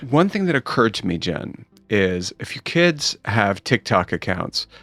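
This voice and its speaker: A middle-aged man hosting a conversational interview, halting and repeating himself as he forms his questions. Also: fairly low pitch, very animated, a bit bright, no echo. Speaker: podcast host.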